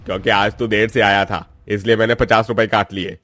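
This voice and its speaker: A man voicing a harsh, stingy mill owner with a gruff, bullying manner. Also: lowish voice, level tone, a little fast, fairly bright tone, no room sound, voice pushed down, lightly acted. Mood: dismissive. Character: harsh, stingy, scolding male mill boss.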